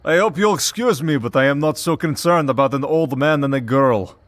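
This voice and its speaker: A man's voice: fairly high pitch, brisk, lightly acted. A man voicing a cocky, predatory vampire villain in an audio roleplay, with a mocking, drawling, theatrical delivery. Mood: taunting, menacing. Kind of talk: scripted audio roleplay, ASMR drama.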